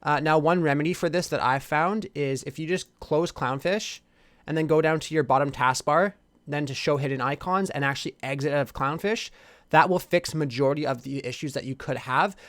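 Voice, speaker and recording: Male tutorial host, informal and reassuring, rapid delivery, dry close-miked voice-over. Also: on the high side, a bit bright, dry recording. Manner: informal, reassuring explainer addressing viewers directly. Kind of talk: casual PC tutorial narration.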